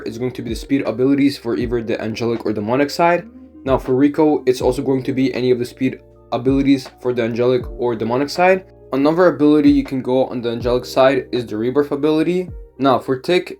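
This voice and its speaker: A man's voice: medium pitch, warm tone. A man with a cold, talking through game strategies in a tutorial voice-over. He leans on filler words like "basically" as he explains. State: has a cold, voice slightly off. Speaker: gaming YouTuber.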